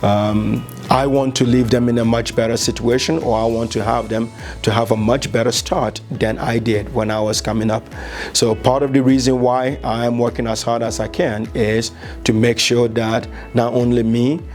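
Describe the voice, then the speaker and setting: A middle-aged man from Liberia, talking earnestly about his insurance business, measured and with frequent fillers. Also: medium pitch, level tone, darkish tone, faint music behind. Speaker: insurance agent. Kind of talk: business promo interview.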